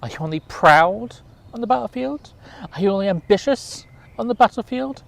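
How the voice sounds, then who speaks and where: A man voicing a small, brave mouse in a storybook tale, speaking high and squeaky as it scolds. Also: animated, moderate pace, creaky. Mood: scolding.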